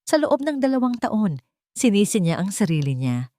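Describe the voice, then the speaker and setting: A woman's voice: mid-range voice, big pitch swings, moderate pace, warm tone, no echo, clean studio sound. A woman narrating a story in a scripted, dramatic and suspenseful style.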